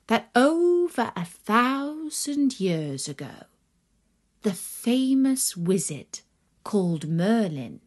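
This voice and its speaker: A woman narrating a children's story from a script, expressive and dramatic, dropping into breathy emphasis on some words. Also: mid-range voice.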